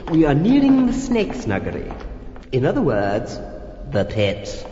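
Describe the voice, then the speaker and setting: A campy horror-record vampire count, gloating and gleefully sinister. His drawn-out, theatrical lines swoop widely in pitch and unfold slowly through the echo and hiss of a worn old record. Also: huge pitch swings, warm tone. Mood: sinister relish. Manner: hammy, drawn-out, alliterative, gleefully sinister delivery. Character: gloating theatrical male vampire count, menacing prankish villain. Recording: muffled, echoey, noisy old flexi-disc record.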